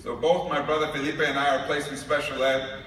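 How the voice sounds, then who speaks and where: A man's voice: fairly high pitch, little pitch movement, a little fast, a bit bright. A man from New York giving a conference keynote speech, telling stories with humor. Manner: storytelling with humor. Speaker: keynote speaker. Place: large conference hall. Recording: echoey hall recorded from far off, noisy.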